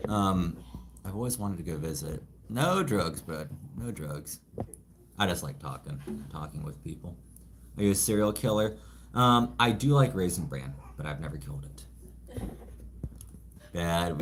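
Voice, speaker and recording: A man's voice: low-pitched, pushed and bright, slight background noise. A man from California hosting a casual live Q&A from home, rambling and self-deprecating as he reads viewer comments into a phone set a little way off. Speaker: livestream host. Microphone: phone set some distance away.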